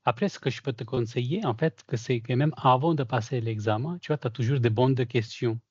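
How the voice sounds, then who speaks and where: A man's voice: mid-range voice, fairly quick, darkish tone, breathy edge, no room sound, dull audio. A man who is not a native French speaker, sharing his experience as a certified former trainee in a casual question session, hesitant and full of fillers. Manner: hesitant, many fillers and restarts. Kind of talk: casual testimonial in a video call Q&A.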